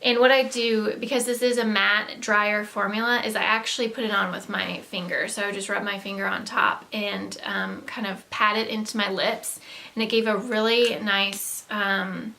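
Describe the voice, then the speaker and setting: A woman beauty vlogger with a Southern US accent talks casually to camera. Her voice is bright and forward, with frequent vocal fry, and sits at an average pitch for a woman. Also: level tone, normal speed. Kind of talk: casual chat to camera, product haul review.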